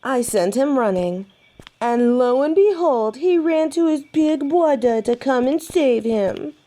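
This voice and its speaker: A woman's voice: sits high, lively intonation, unhurried, mellow. A woman playing a young, stubborn, hot-headed female werewolf in an audio roleplay drama. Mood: indignant.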